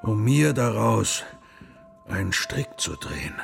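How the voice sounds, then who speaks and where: A stubborn, blunt monk on the trail of a crime in a historical radio drama. His voice is heavily gravelly and swings freely in pitch, with music playing underneath. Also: very animated, slow pace, full character voice. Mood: grave realisation. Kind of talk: German radio drama acting.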